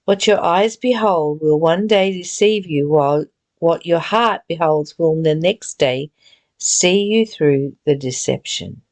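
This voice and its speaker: A woman giving a reflective spiritual reading and teaching in an earnest, deliberate, gently exhorting way. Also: sits low. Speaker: reader and spiritual study teacher. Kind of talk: read-aloud spiritual text with reflective, informal commentary.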